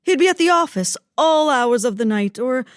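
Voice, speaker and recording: A theatrical, high-voiced wealthy widow. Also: female voice, animated, moderate pace, dry recording, studio quality. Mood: defensive, wistful. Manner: theatrical, swooning, dramatic. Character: wealthy melodramatic widow, sultry and self-pitying. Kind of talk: parody of old-time radio detective drama.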